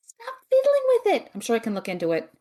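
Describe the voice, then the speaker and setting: A woman acting a tech-savvy, cautious and bossy young student mage in a fantasy comedy audio drama, with a dry, exasperated, brisk manner. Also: fairly high pitch, huge pitch swings, dark tone.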